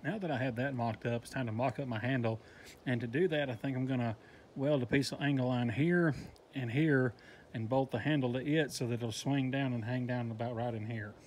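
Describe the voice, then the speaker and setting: A man's voice: medium pitch, darkish tone. Casting: man with a mid-range voice, matter-of-fact workshop host walking through a build, faint outdoor hiss behind. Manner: plain, practical step-by-step explaining with fillers. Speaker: DIY welding channel host.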